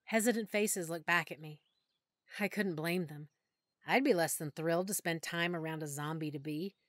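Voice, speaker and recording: A woman narrating a first-person audiobook in a soft, emotional storytelling manner. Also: fairly low pitch, normal speed, no room sound, clean studio sound.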